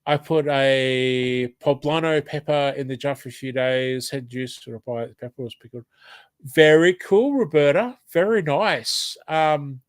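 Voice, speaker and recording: A man's voice: on the high side, no echo. Australian man with a blocked nose. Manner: hesitant, many ums, apologetic. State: blocked nose from hay fever. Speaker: live stream host. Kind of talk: casual live stream chat reading comments.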